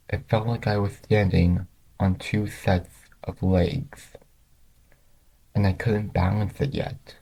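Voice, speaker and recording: A man's voice: deep voice, mellow, low-quality recording. A man narrating first-person fan fiction, reading it aloud as a storyteller.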